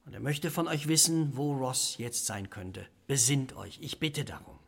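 An actor playing a mild, polite and earnest reverend schoolmaster in a radio play. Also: male voice, mid-range voice, lively intonation, measured pace, creaky. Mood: earnest, obliging. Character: small, round, mild, obliging reverend schoolmaster. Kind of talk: German radio play acting.

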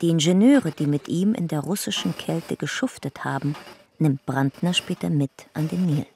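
A woman's voice: low voice, moderate pace, a little mellow, slight background noise. A woman narrating a radio drama in documentary style, sober, clipped and matter-of-fact. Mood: sober, factual.

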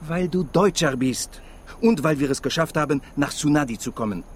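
Actor as brusque, higher-voiced French journalist. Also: male voice, moderate pace, a little hiss or hum, natural voice. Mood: scornful, insistent. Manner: brusque, sardonic, with French interjections. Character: abrasive, distrustful French male journalist from Paris. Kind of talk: radio drama dialogue.

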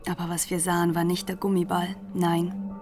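Woman as hushed narrator over faint music. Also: fairly low pitch, completely flat delivery, normal speed, natural voice. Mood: grave, uneasy. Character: former school pupil recounting events as narrator. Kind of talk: literary radio drama narration.

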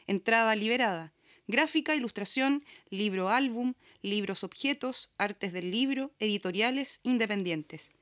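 A woman's voice: fairly high pitch, measured pace, a bit bright, dry recording, studio quality. A Chilean woman reading scripted announcements as a podcast host. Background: background music.

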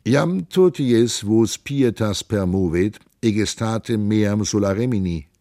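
A man voicing a ragged, clever wandering stranger in an audiobook reading, talking slowly and drawing out strange foreign phrases. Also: on the low side, expressive, mellow, no echo, studio quality. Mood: pleading, grandiloquent. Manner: slow, drawn-out, babbling in foreign tongues.

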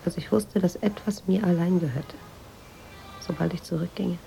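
An introspective woman writer in a radio play, confiding memories in measured phrases. Her voice is lowish and heavily creaky, with a mellow, dark tone. She sounds quietly troubled and literary. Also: steady tone, faint music behind, rough recording. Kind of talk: radio drama monologue.

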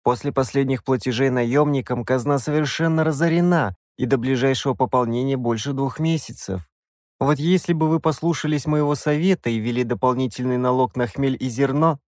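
A man voicing a timid royal treasurer who speaks very quietly and anxiously. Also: mid-range voice, brisk, no echo, clean studio sound, voice pushed up, lightly acted. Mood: nervous.

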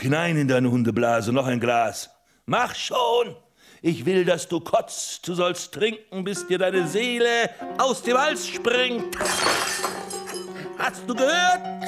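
Cruel, volatile criminal mastermind. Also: male voice, a little high. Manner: imperious, volatile, barking orders. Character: megalomaniac criminal mastermind doctor, cruel, domineering drunkard. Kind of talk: German radio drama acting. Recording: music playing behind the voice.

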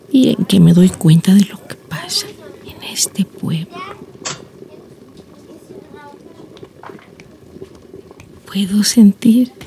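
A woman acts out a wistful old blind grandmother in a radio drama monologue. Her voice is gravelly and soft-toned, and she moves very slowly through her thoughts with sighs and long pauses. Faint noise sits behind her. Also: on the high side, full character voice. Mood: knowing, mysterious. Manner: slow reflective storytelling, sighing interjections, long pauses. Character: lonely old blind Mexican village grandmother, wistful and resigned.

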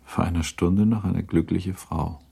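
A man narrating a literary radio play in a grave, measured, restrained manner. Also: deep voice, fairly even intonation, gravelly, dark tone, breathy edge, exaggerated voice. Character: grave, restrained narrator of a 19th-century novel.

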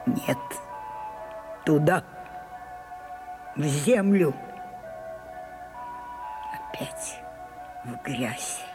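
An older woman playing a proud, weary old French stage actress in a radio drama, declaiming broodingly and dropping into breathy whispers. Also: low-pitched, lively intonation, drawn-out words, long pauses, music in the background, exaggerated voice. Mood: bleak, bitter. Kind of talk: theatrical radio drama acting.

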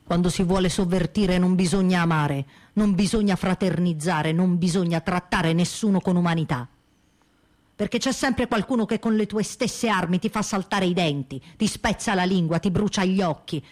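A woman reading scripted radio theatre narration with theatrical, measured phrasing. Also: lowish voice, a little mellow. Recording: music under the voice. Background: music.

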